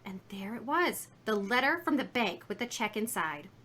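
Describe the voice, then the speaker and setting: A woman's voice: mid-range voice, expressive, moderate pace, sharp tone. An actress playing a chatty, indignant, folksy woman shopkeeper in a 1950s radio drama. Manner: folksy, garrulous, emphatic.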